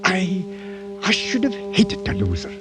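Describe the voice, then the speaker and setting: Stolid, understated sea captain. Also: male voice, high-pitched, sing-song. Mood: quietly moved. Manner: understated, halting. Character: stolid, plain-spoken older sea captain. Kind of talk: old-time radio drama acting. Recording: 1940s radio recording with music.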